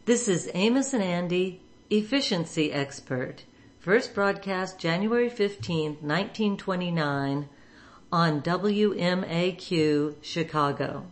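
A woman's voice: on the low side, lively intonation, slow pace. A woman hosting, giving a scripted introduction to a radio programme. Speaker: radio show host.

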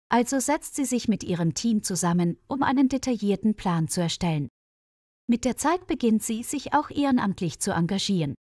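Woman reading a story for language learners, careful phrasing, mid pitch, really dry sound. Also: a little mellow, dry recording. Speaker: narrator of a German listening-practice story.

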